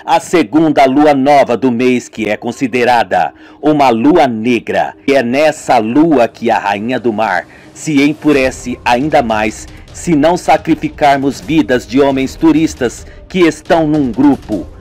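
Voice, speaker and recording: A man voicing a menacing village cult elder who speaks in a slow, threatening way. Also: mid-range voice, darkish tone, soft background music, voice pushed down, close to an everyday voice. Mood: ominous.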